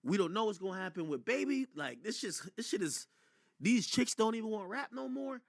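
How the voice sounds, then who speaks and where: A man's voice: high-pitched, big pitch swings, fairly quick, gravelly, no room sound. Guy streaming live reaction commentary, emphatic n opinionated, swears a lot, voice swoops around, dry close sound. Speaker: streamer commenting on rap news; record label owner.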